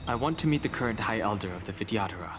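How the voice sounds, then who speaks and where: A man playing a solemn, reserved exile burdened by his past in a video game, speaking in a measured, formal way. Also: lowish voice, animated, music in the background, lightly acted. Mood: grave, resolved. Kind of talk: English video game voice acting.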